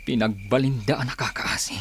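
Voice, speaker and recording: A man's voice: medium pitch, brisk, a little hiss or hum, natural voice. An actor playing a bitter, aggrieved man in Ilocano radio drama, with an Ilocano Filipino accent and an emphatic, brooding delivery. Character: bitter, aggrieved man Edgar, burdened by family hardship.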